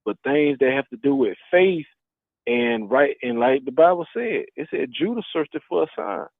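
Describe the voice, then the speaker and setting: A man's voice: medium pitch, animated, moderate pace, no room sound. A man in his thirties speaking earnestly in a casual group discussion, quoting scripture. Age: 30s. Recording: tinny phone line.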